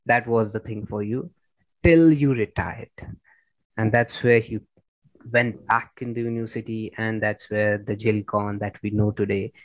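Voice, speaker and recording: A man's voice: on the low side, fairly even intonation, unhurried, no room sound, rough recording. Man interviewing, close dry sound. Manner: encouraging, repeats and rephrases. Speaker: interviewer, host. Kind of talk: casual conversational interview.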